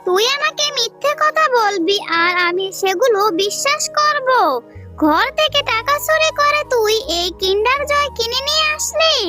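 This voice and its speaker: A woman's voice: extremely high pitch, animated, normal speed, heavily acted. A woman voicing a harsh, suspicious aunt who scolds and accuses in a children's cartoon story. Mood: angry, threatening. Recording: loud jingle music behind the voice.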